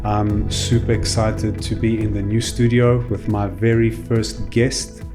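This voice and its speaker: A man hosting a podcast in a studio, chatting informally in a halting, circling way. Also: lowish voice, flat delivery, music in the background. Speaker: podcast host. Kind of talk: informal interview chat.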